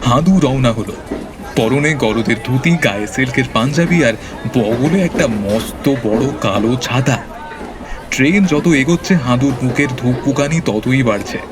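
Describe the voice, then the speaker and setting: A man's voice: medium pitch, expressive, measured pace, a crowd in the background. A man with a Bengali accent narrating a scripted audio story. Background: background music.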